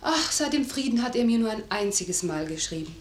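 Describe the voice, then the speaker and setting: A woman's voice: on the high side, animated, fairly quick, echoey room, a little hiss or hum, natural voice. A young actress playing a well-bred, earnest young noblewoman in a classic comedy radio drama, with polite, composed diction. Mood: wistful.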